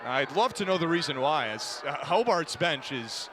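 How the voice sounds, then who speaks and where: A man giving an energetic, descriptive live radio play-by-play commentary of a lacrosse game. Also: fairly high pitch, moderate pace. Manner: energetic, descriptive running call of the action. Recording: noisy live broadcast, game crowd behind. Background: crowd and ambient game noise.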